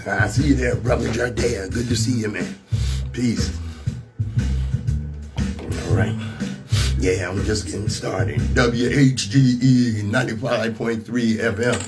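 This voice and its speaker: A man with an American accent and a slightly rough voice, hosting a radio talk show with emphatic, rhetorical commentary. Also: on the low side, steady tone, slow pace, pauses often, over loud music. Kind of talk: radio monologue mixing scripted commentary and casual asides.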